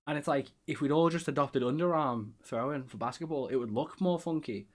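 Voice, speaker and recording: A man's voice: mid-range voice, fairly quick. A man with a Scouse accent hosts a casual podcast chat. He rambles with plenty of fillers and laughs at his own slips. His voice sits in an ordinary middle register. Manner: rambling, self-deprecating, lots of fillers. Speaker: podcast host.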